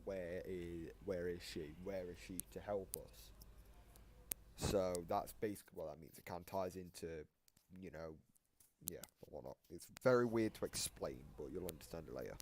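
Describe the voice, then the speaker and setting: A man's voice: deep voice, normal speed, darkish tone, slight background noise. A man giving casual explanatory commentary, piecing his thoughts together as he explains. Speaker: co-host explaining game lore.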